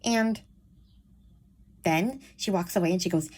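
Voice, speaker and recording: A woman's voice: on the low side, a little slow, darkish tone. A woman with a childish-sounding voice, chatting casually and frankly to her viewers, rambling with lots of fillers. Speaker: vlogger. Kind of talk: casual chat to camera.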